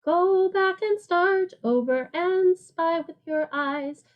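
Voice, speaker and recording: A woman teacher reading a picture book aloud to children in an animated, sing-song way, with simple, casual explanations. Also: extremely high pitch, slow pace, highs cut off, faint music behind.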